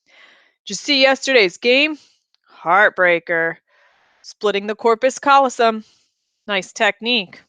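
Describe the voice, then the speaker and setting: A woman's voice: a little high, takes it slowly, pauses often, bright, forceful tone, smooth, no room sound. A woman playing a detached, businesslike surgeon in a story, talking in a clipped, matter-of-fact way. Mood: casual, detached.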